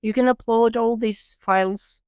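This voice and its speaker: Woman, Ukrainian accent, podcast host, smooth resonant voice, dry close sound, earnest explaining. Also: a little high, steady tone, a little slow, darkish tone, no echo. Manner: earnest, explanatory, laughs easily. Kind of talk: casual podcast interview.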